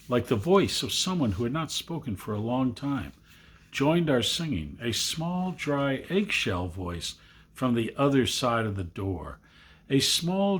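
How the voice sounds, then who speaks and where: Male storybook narrator, slightly slow and husky, with dramatic, widely swinging delivery. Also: mid-range voice, fairly bright tone. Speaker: amateur reader of a literary story. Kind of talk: expressive literary storybook narration.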